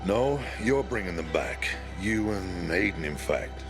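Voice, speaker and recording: Casting: older battle-worn commander for a game, low and creaky, blunt, gives orders like a chief. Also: male voice, expressive, unhurried, over music. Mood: warm, reflective. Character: gruff older veteran leader of the Night Runners, commanding. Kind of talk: video game cutscene acting.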